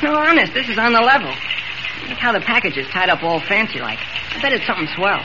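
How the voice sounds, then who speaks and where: An actress playing an eager, earnest boy newspaper assistant with gee-whiz excitement, in 1940s radio acting. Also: mid-range voice, quick pace, natural voice. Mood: excited, curious. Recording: very noisy, muffled vintage radio recording.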